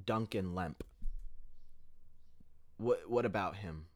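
A man's voice: low-pitched, slow pace, a little mellow, dry recording. A man hosting a podcast, delivering a heated opinion monologue with emphatic restarts. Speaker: podcast host.

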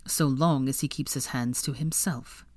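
A woman's voice: a bass voice, fairly even intonation, normal speed, no room sound, voice pushed down. A woman voicing a sardonic, grumbling young male wizard with a sarcastic manner in a storybook. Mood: sullen muttering. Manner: dry, sarcastic.

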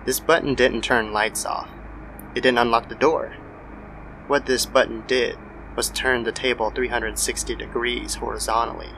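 A man reads a scripted horror tale as narrator. His mid-pitched voice has heavy gravelly fry, and clear noise sits behind it in the recording. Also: steady tone, moderate pace, pushed and bright. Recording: noisy background ambience.

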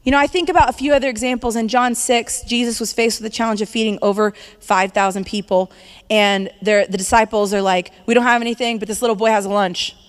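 A woman's voice: a little high, fairly even intonation, fast, smooth. A woman in her thirties preaching a sermon in a conversational, upbeat way, laughing now and then. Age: 30s. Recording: long hall reverb.

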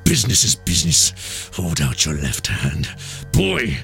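A man's voice: rumbling low, wildly swooping pitch, measured pace, creaky, fairly bright tone, faint music behind, voice pushed down, exaggerated voice. A man voicing a blind old pirate beggar who is cruel and cunning, with a whining sing-song that turns cold and menacing, in an audiobook reading. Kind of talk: audiobook character voice.

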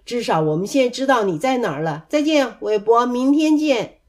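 A playful young male elephant from a children's storybook, voiced by a woman. The voice sits high and bounces with expression. He is cheerful, chatty and teases like the leader of the gang. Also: lively intonation, moderate pace, darkish tone, close to an everyday voice. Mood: teasing. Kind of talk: animated children's storybook reading.

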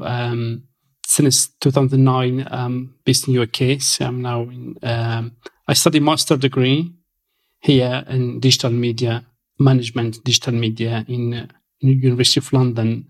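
Hesitant man with Syrian Kurdish accent. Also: mid-range voice. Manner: hesitant, many fillers and restarts. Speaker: guest. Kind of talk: casual interview.

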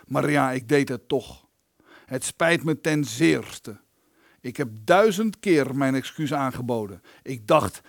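An actor in a radio play voicing an indignant, self-important politician locked in a dungeon, with a pompous, self-justifying manner. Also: male voice, medium pitch, dry recording, clean studio sound, natural voice. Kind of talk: Dutch radio play acting.

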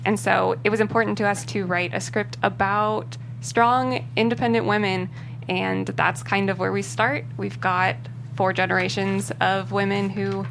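A woman's voice: mid-range voice, level tone, normal speed, creaky, fairly bright tone, a little hiss or hum. A woman speaking casually as a writer guest in an interview. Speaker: screenwriter guest.

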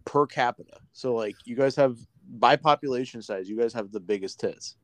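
An American man co-hosting a podcast, cracking crude jokes in a deadpan way. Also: mid-range voice, normal speed. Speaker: podcast co-host. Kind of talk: casual podcast chat.

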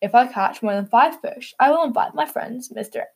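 Woman reading as a polite, fussy storybook gentleman frog. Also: fairly high pitch, moderate pace, creaky, breathy edge. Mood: mild, planning. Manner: polite, old-fashioned phrasing. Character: Mister Jeremy Fisher, mild, fussy gentleman frog. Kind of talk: storybook character dialogue.

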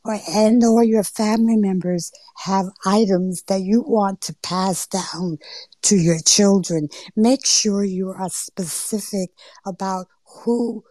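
A middle-aged woman with a mild accent between Southern and Northern American, speaking in an unhurried storytelling way in a roundtable discussion. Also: medium pitch, steady tone, no echo. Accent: between Southern and Northern US, neither strong. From Georgia and New York, US. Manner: unhurried storytelling with drawn-out phrases and soft asides. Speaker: panel speaker. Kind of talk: roundtable discussion on a live audio forum.

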